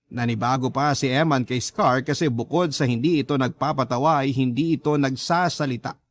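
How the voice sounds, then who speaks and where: A man's voice: on the high side, no room sound. A man giving scripted first-person dramatic narration, reading out a love story as a letter sender would tell it.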